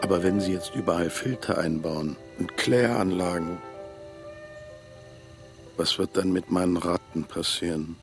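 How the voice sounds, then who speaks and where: An actor playing a brooding, cryptic man stranded in a small town who haunts the river at night, speaking in a hushed, bitter way in a radio drama. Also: deep voice, steady tone, unhurried, long pauses, over music.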